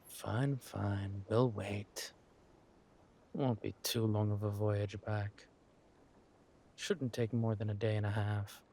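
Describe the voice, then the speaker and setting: A man playing a flirtatious, smug villain king in a roleplay audio drama, talking to his spouse in a husky, teasing, languid way. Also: fairly low pitch, fairly even intonation, darkish tone, a little hiss or hum. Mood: flirtatious, relaxed. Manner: teasing, languid, amused, drawn-out phrasing with murmured asides.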